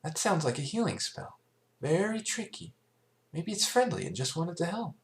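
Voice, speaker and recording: A man voicing a bright, reasoning young female pony leader in an amateur cartoon fan fiction reading. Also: a little high, very animated, moderate pace, creaky, voice pushed up. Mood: thoughtful.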